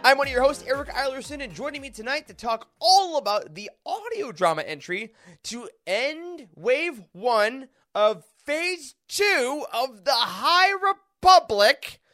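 A man hosts a podcast as casual conversation, joking and effusive. His pitch moves up and down in a very lively way. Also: unhurried. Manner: jokey, effusive. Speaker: podcast host. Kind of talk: casual upbeat chat.

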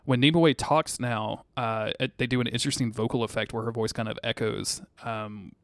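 Male podcast co-host, gravelly, dry sound; animated, slangy, profane recapper. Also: medium pitch, normal speed, dry recording. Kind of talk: casual TV episode recap.

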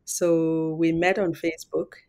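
A woman's voice: sits low, level tone, unhurried, a little mellow. A woman hosting a podcast interview, asking questions in an encouraging way. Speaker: podcast host.

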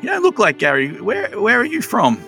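A man's voice: high voice, huge pitch swings, brisk, pushed and bright, faint music behind. A man playing a flustered, rambling town crier who keeps oversharing about his wife leaving. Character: flustered middle-aged male town crier, abandoned husband. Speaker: game master voicing a townsperson. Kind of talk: improvised tabletop roleplay.

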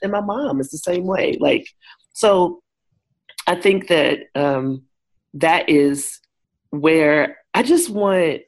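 A woman in her forties with a deep voice, talking frankly as an interview guest who works with couples. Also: slow. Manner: frank and expressive, switches into lively quoted voices. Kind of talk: candid conversational interview.

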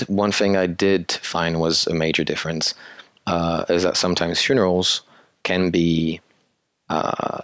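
A man's voice: low voice, gravelly, no echo, studio quality. A man being interviewed about the audio drama he created. He talks casually and thoughtfully, rambling with fillers and restarts.

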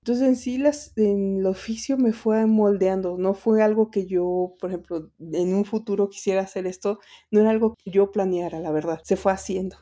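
A woman's voice: medium pitch, fairly even intonation, normal speed, hardly any pauses, warm tone. A middle-aged Mexican woman, an interpreter, answering interview questions in a rambling way with many fillers and restarts. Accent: Mexican Spanish. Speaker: interpreter and translator guest.

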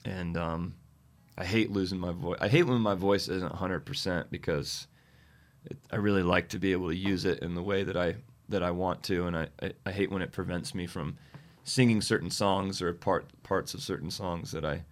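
A man, a touring musician guest, chatting casually with a slightly scratchy, tired voice and many halting fillers. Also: sits low, fairly even intonation. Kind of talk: casual interview chat.

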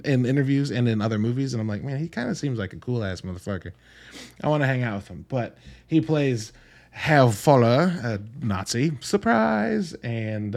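A man hosting a podcast, giving a casual, conversational movie review with rambling, animated asides and casual swearing. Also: medium pitch.

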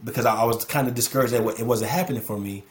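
A man's voice: mid-range voice, rapid-fire, a little hiss or hum. A man in his thirties, a guest on a podcast, talking reflectively with many repeated words. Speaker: podcast guest, music producer. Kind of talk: casual interview chat.

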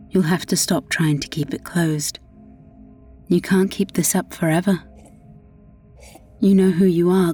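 A woman's voice: fairly low pitch, dark tone, husky. A woman playing an eerie, coaxing mother in a dream, insistent and ominous, in an audio drama.

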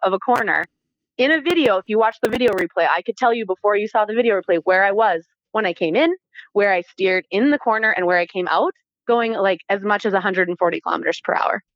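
Woman, Canadian accent, dull lo-fi audio, dry room, animated casual storyteller, interview guest. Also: mid-range voice, no room sound. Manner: animated, informal, acts out quoted dialogue. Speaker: interview guest, former Olympic athlete.

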